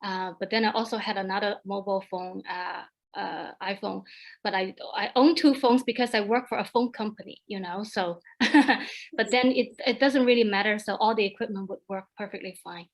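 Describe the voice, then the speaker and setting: A woman in her thirties from China, speaking English as a second language, telling an animated story as a guest on an online alumni panel, with many repeated starts. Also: medium pitch, normal speed, low-quality recording. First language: Chinese. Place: home, over a video call.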